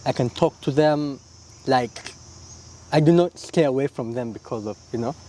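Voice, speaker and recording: A young man from Uganda, answering interview questions in a relaxed, reflective way, often tagging his lines with "yeah?" and "you know?". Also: mid-range voice, normal speed, slight background noise. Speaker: interview guest, illustrator.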